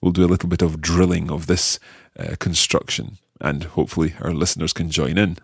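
A man's voice: deep voice, fairly even intonation, vocal fry, husky, no echo, clean studio sound. A man co-hosting a language lesson as the learner, carefully trying out phrases. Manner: careful, tries phrases out slowly. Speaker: learner co-host.

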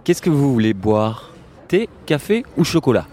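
A man's voice: medium pitch, very animated, moderate pace, warm tone. A man playing a polite waiter in a scripted French dialogue. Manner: polite, formal service speech. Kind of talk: scripted role-play dialogue.

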